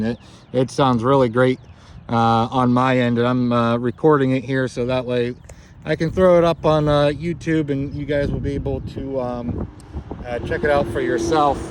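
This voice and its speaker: A man with a mid-pitched voice talks as an amateur radio operator in a casual, technical exchange. His delivery is halting, and he is recorded outdoors with clear street and vehicle ambience around him. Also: background noise. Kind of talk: casual ham radio contact, giving call signs and signal reports.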